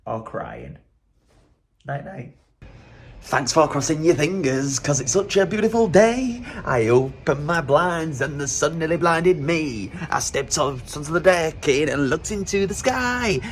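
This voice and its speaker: A man's voice: fairly high pitch, a little hiss or hum. A man talking casually to camera as a travel vlogger, chatty and rambling with self-mocking humor.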